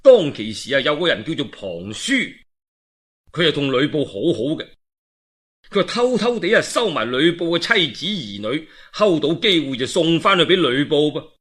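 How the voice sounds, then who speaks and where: A man's voice: a little high, normal speed, breathy edge. A man with a Cantonese accent telling a historical tale in a colloquial, dramatic oral storytelling style. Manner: colloquial, animated, dramatic asides.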